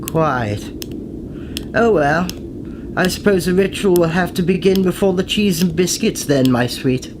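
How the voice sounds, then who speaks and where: An actor playing a haughty, villainous occultist lord in a radio play, pompous, sardonic and commanding. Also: male voice, high-pitched, animated, unhurried. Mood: dryly amused. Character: haughty villainous lord leading an occult ritual, suave and menacing. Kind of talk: old-time radio play acting. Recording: noisy recording with clicks.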